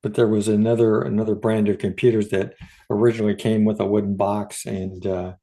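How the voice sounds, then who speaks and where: A man's voice: lowish voice, flat delivery, brisk, mellow. A man co-hosting a podcast in casual, unscripted conversation, tentative and often searching for his words. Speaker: podcast co-host.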